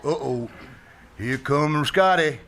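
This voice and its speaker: A man's voice: on the high side, slow, fairly bright tone. A man acting as a cocky, bossy bungler in an old-time radio comedy, with casual, slangy delivery. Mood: dry. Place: small-town theater stage, live performance. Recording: noisy live theater stage recording.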